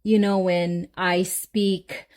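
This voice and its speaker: A woman's voice: lowish voice, flat delivery, very slow, a little mellow. A woman from the Philippines with a Filipino accent, talking frankly and casually to camera in a vlog. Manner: chatty, frank, mimics mocking laughter. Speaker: vlogger.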